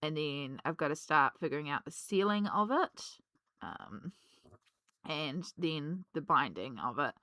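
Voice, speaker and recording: Low bright-toned woman, slightly hoarse. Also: unhurried, no echo. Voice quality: relaxed, rambling with many ums. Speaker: colouring vlogger. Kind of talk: casual chat while colouring.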